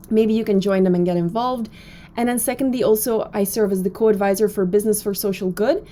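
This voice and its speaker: A woman's voice: medium pitch, dark tone. A woman in her thirties from Belgium, with a Belgian accent, giving a chatty, upbeat recorded introduction to her online course from her office, with frequent fillers. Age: 30s. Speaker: professor.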